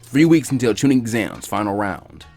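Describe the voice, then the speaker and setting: A man reading fan fiction aloud, quick and lively, putting on voices for the characters. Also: lowish voice, no room sound, soft background music. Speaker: fanfiction reader.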